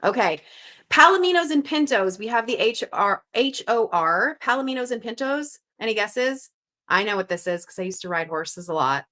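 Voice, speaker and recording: A woman's voice: on the high side, animated, moderate pace, pushed and bright. A woman from Canada hosting a live crossword stream, reading out viewer guesses in an upbeat, chatty way and thinking aloud. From Canada (Montreal).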